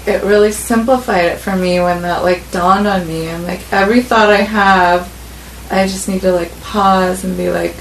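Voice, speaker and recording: A woman's voice: medium pitch, steady tone, normal speed, gravelly, reverberant, slight background noise, poor audio. A woman in a spiritual study group, sharing her thoughts hesitantly with many fillers. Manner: hesitant, many ums and likes.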